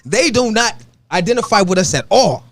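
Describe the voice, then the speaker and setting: A man from Buffalo, co-hosting a live stream and arguing his point hard, often cutting in to say "pause.". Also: high-pitched, animated. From Buffalo, New York. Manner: emphatic, keeps cutting in with "pause". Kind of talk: heated casual debate.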